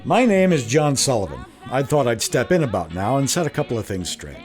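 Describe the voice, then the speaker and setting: A man's voice: mid-range voice, very animated, a little fast, music in the background, close to an everyday voice. An actor playing a blunt, wry older Irish-American man telling a rambling, slangy yarn in a radio drama. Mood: wry, defiant. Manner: slangy, chatty, rambling with self-corrections and chuckles. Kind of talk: radio drama narration, folksy first-person yarn.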